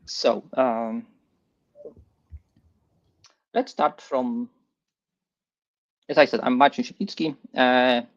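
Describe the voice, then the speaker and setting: A middle-aged man with a Polish accent gives a live tech presentation, speaking haltingly and repeating words. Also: mid-range voice, lo-fi sound. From Poland. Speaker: guest presenter. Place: online livestream session.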